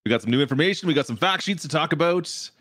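A man in his thirties co-hosting a casual livestream from a home room, talking through a studio mic on an arm, with quick restarts. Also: a little high, breathy edge, dry recording. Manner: quick, animated talk with restarts. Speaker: co-host.

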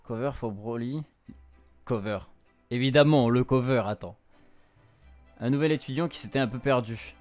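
A man's voice: mid-range voice, normal speed, pauses often, darkish tone. A young man doing casual playthrough commentary, informal and hesitant as he translates game text aloud on a close streamer mic. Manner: informal, hesitant, stumbling while translating on the fly. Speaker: streamer, let's-play host. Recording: music behind the voice. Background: game music.

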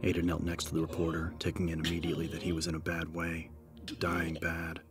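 A man narrating an audiobook in a measured, solemn, scripted dramatic style. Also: low voice, completely flat delivery, music in the background.

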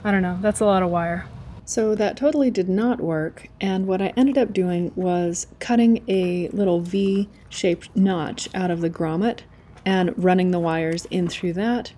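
A woman's voice: fairly low pitch, unhurried, warm tone, a little hiss or hum. A woman in her forties casually narrating a do-it-yourself vehicle project, chatty and laughing often, recorded in and around an SUV. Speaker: vlogger. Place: inside an SUV and a carport.

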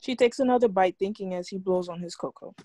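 A woman's voice: medium pitch, fast talker, dark tone. A woman reading scripted stage directions for a film table read over a video call.

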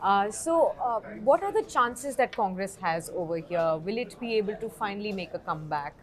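A woman's voice: medium pitch, expressive, normal speed. A young woman hosting a studio news interview, posing polite questions and giving short affirmations on a lapel mic. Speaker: news anchor host.

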